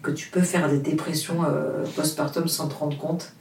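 A woman's voice: deep voice, little pitch movement, normal speed, creaky, darkish tone, slight background noise. Woman, roomy distant sound. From Portugal. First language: Portuguese. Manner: hesitant, many fillers and restarts, earnest. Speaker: author, interview guest. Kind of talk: casual interview chat.

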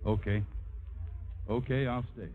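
A man's voice: mid-range voice, steady tone, a little slow, soft background music, close to an everyday voice. An actor playing an earnest, restrained leading man in a 1950s radio drama. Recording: tinny 1950s radio broadcast.

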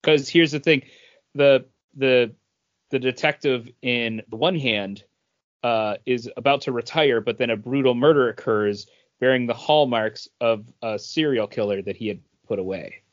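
A man's voice: medium pitch, unhurried. Male podcast co-host, mid-range voice, casual conversational delivery, slightly lo-fi audio. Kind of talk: casual comic book chat.

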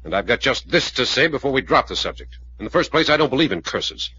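An actor playing a steady, blunt investigator in 1940s radio drama, laconic and plain-spoken, with an American accent. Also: male voice, medium pitch, fast, husky, full character voice. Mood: firm. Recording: dull old radio recording.

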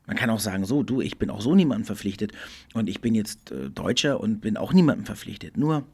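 Middle-aged guy doing a solo podcast, low-ish raspy voice, earnest, goes quiet almost whispery sometimes. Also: animated. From Germany. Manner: thoughtful, earnest, often slowing and dropping to near whisper. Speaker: podcast host. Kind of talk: reflective solo podcast monologue.

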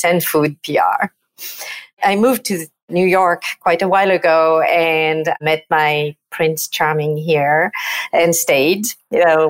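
A middle-aged woman from Provence with a French accent, telling her story as a guest on a podcast with lively enthusiasm. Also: fairly low pitch. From France, Provence. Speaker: guest, PR agency president. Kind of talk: podcast interview.

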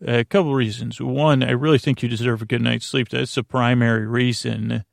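A man with a creaky voice talks gently and soothingly as a sleep podcast host, meandering, hesitating and repeating half-finished words. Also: medium pitch, flat delivery, moderate pace, dry recording, clean studio sound. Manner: meandering, hesitant, often repeats and trails off mid-word; soothing, lulling. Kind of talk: rambling bedtime monologue, talking gently to the listener.

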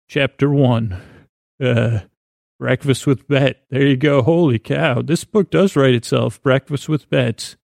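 A man hosting a podcast with a halting, meandering bedtime monologue in a creaky voice, often trailing off mid-thought. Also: medium pitch, a little mellow, dry recording, studio quality. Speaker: podcast host. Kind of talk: rambling comedic bedtime monologue.

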